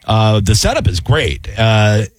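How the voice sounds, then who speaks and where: A man's voice: on the low side, very animated, a little slow, dry recording. A man from Tampa hosting a casual radio show, talking in a loose, joking way with plenty of fillers and restarts. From Tampa, Florida. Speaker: radio host. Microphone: studio microphone.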